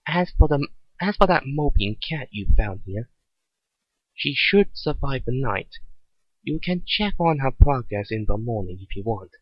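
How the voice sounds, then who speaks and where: A man voicing a gruff but respectful soldier bodyguard in a story reading, formal and dutiful. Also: mid-range voice, big pitch swings, a little slow, no echo. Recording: dull lo-fi recording.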